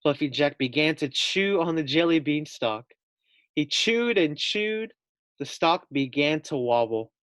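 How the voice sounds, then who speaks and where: Male teacher, storybook read-aloud: deliberate pace, dry close sound, asks young listeners questions. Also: a little high, animated, fairly bright tone, no room sound.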